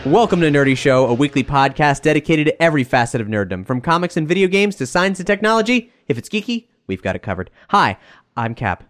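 A man's voice: a little high, big pitch swings, fast, faint music behind. A man hosting a podcast, reading a scripted intro and then chatting casually with frequent fillers. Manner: easygoing, hesitant fillers, joking. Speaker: podcast host.

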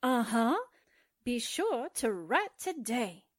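A woman's voice: fairly high pitch, sing-song, slow, exaggerated voice. A woman playing a folksy newspaperwoman's sidekick in a radio drama, breezy and wisecracking. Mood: breezy, cheeky.

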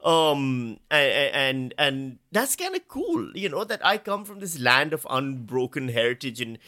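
Animated man, bright and lively. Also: mid-range voice, measured pace. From Pakistan. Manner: self-mocking, animated storyteller, laughs often. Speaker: podcast co-host. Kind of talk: casual comedic chat.

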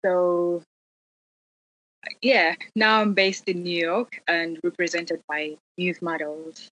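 A woman from Uganda with a fairly low voice talks as a guest about her modelling start. She speaks in a plain, steady way. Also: takes it slowly, muffled recording, a little hiss or hum. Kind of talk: casual conversation.

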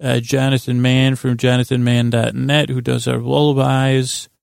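Gravelly guy doing a rambly goofy sleep podcast, keeps interrupting himself, really dry sound. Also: mid-range voice, level tone, dry recording. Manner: meandering, halting, self-interrupting; goofy, self-deprecating. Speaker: podcast host. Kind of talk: rambling improvised bedtime podcast monologue.

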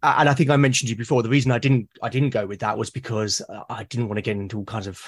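A man's voice: mid-range voice, steady tone, racing, husky. A British man being interviewed as a guest, who talks casually and hesitantly, often repeating words as he starts a sentence. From United Kingdom. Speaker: guest, artist and podcaster. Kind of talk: casual conversation.

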